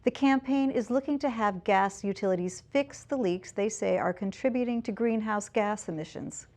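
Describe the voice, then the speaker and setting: American female news anchor, medium pitch, delivering scripted narration. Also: normal speed, a little mellow.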